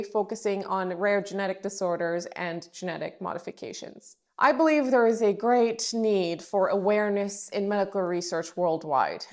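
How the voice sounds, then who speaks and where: A young adult woman from Turkey, a biomedical scientist hosting her own podcast, reading a scripted science explainer in a clear, measured way. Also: medium pitch.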